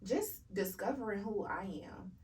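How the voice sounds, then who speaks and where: A woman's voice: mid-range voice, animated, measured pace, a little mellow, distant mic. A woman in her thirties, a podcast guest talking candidly and casually about her life, with an easygoing manner. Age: 30s. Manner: laid-back, laughs things off, candid. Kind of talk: casual interview chat.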